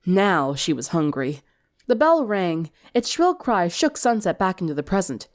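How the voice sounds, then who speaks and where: A woman narrating a story dramatically in an expressive, theatrical way. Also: on the low side, unhurried. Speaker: fan fiction reader.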